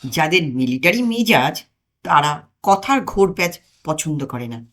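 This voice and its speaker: A woman narrating a comic audio story in an expressive, dramatic manner with deliberate pauses. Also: low voice.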